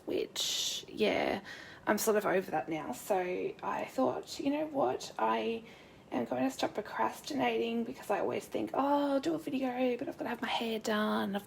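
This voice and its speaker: A woman with a croaky sore throat chatting casually and rambling as she demonstrates crafting live, greeting viewers by name. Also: a little high, slight background noise. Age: adult with a son. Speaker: crafting demonstrator, youth worker. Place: home craft desk. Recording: roomy distant mic at a craft desk.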